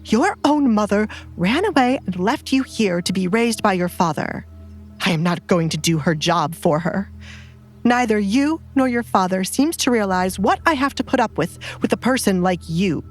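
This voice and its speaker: A woman's voice: medium pitch, animated, unhurried, faint music behind. A woman voicing a haughty, middle-aged noblewoman, a cruel stepmother who scolds with cutting contempt in an audio drama. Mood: scornful anger.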